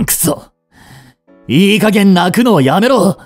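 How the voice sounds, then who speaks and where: A man's voice: high voice, lively intonation, unhurried, dry recording, soft background music. A man playing a cold, haughty young prince in a romantic audio drama, curt and imperious but secretly protective. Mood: alarmed, angry.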